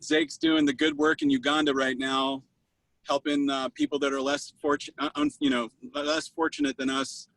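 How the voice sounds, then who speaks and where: A man's voice: fairly high pitch, very animated, moderate pace, vocal fry, a bit bright, no echo, rough recording. A man hosting a casual online group video call, chatting in an effusive, sentimental way. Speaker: host of an online spiritual study group.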